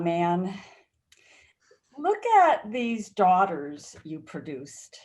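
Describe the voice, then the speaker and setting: A woman's voice: fairly high pitch, a little mellow. A woman acting an exasperated but affectionate wife, emphatic and coaxing, in a staged reading of a play.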